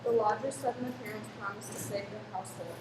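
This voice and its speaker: A woman narrates a scripted drama at a quick pace. She sounds distant from the mic in an echoey room, with clear noise. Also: medium pitch, fairly even intonation.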